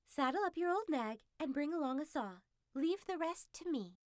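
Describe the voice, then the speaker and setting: A woman voicing a cunning, scheming female fox who talks in a brisk, persuasive, bossy way. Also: high-pitched, expressive, darkish tone, no echo, clean studio sound, pitched above the performer's own voice.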